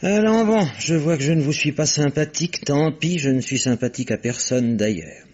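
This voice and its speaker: A man's voice: on the high side, normal speed, a little mellow, close to an everyday voice. An actor in an old radio drama playing a cynical, blunt father who is sardonic and self-assured. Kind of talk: 1960s French radio drama acting. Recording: dull old radio broadcast recording.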